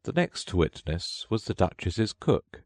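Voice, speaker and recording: A man's voice: low-pitched, big pitch swings, slow, darkish tone, no room sound, studio quality. A man narrating a children's storybook in a scripted read-along style.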